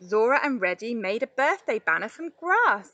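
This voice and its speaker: A woman reading a children's storybook aloud in an expressive narrating style. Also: a little high, moderate pace, no room sound, dull audio. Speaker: storybook reader.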